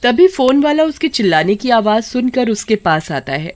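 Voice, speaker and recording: A woman narrating a scripted cartoon story in a steady storytelling manner. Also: on the high side, moderate pace.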